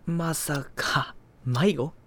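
A man's voice: fairly high pitch, steady tone, drawn-out words, fairly bright tone, slight background noise, heavily acted. A man voicing a friendly, mediating teenage upperclassman with a light manner in an anime audio drama. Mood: worried.

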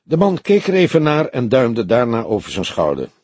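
Husky male audiobook narrator, expressive pitch. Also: moderate pace, no echo. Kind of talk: scripted narration of a detective novel.

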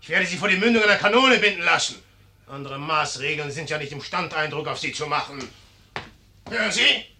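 An actor in a 1950s radio play as a proud, irascible young general with a curt, commanding manner. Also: male voice, a little high, animated, fairly quick, pushed and bright, full character voice. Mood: furious, impatient.

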